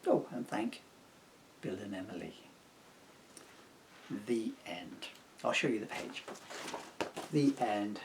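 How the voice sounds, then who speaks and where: A man reading his own rhyming children's book aloud as an expressive storyteller. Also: lowish voice, takes it slowly, pauses often, slight background noise.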